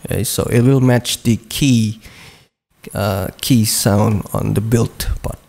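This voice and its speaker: A man with a gravelly voice and a dark tone walks through a production session at an unhurried pace. He pauses often and fills the gaps with "uh" and "okay", in a plain tutorial way. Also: on the low side, steady tone. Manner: slow, hesitant walkthrough with frequent "uh" and "okay". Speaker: music producer. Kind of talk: screen-recorded production tutorial.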